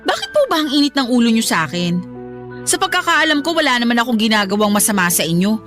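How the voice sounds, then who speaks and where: An aggrieved young Filipina daughter-in-law in a radio drama. Her voice is quite high, and she is respectful in wording yet plaintive and defiant. Soft music plays behind her. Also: big pitch swings, quick pace. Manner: polite but plaintive and defiant. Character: aggrieved young live-in daughter-in-law, politely talking back.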